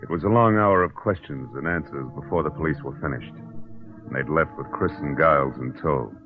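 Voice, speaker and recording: An actor playing a tough, wisecracking private detective and narrator in a 1940s radio drama, sardonic and clipped. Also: male voice, extremely low pitch, a little fast, music in the background. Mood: tense narrating. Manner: hard-boiled, sardonic, clipped. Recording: dull old radio recording.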